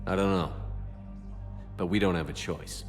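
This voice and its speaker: Game commander male actor: deep register, composed, resolute military leader. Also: normal speed, faint music behind, natural voice. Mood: calm, reassuring. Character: steadfast military commander, calm and resolute man. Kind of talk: dramatic sci-fi video game dialogue.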